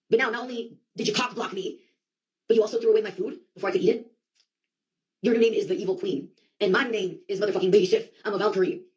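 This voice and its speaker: Woman, quick-fire comic monologuist: rambling, slangy and sweary, with a theatrical streak and a mid-range voice. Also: mid-range voice, poor audio. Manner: sweary, theatrical, slangy delivery with quoted outbursts.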